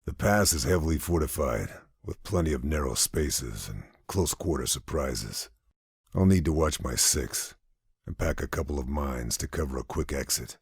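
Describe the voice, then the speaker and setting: Very deep, gravelly male sniper. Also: lively intonation, dry recording, clean studio sound, exaggerated voice. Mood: resolute. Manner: terse, brooding, deliberate. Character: grim, gravelly male WWII sniper narrating his missions. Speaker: narrating game protagonist. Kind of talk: scripted dramatic mission narration.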